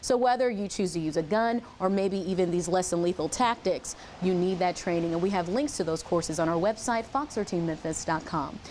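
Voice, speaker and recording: Female news reporter, slightly low register, scripted delivery, faint background noise. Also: a little fast, few pauses, darkish tone.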